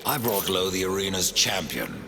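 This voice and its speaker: An actor playing a grim, defiant horseman of death in a video game cutscene, terse and dry, with a deep gravelly voice. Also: very animated, moderate pace, background noise, full character voice. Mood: cold defiance. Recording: loud cinematic music behind.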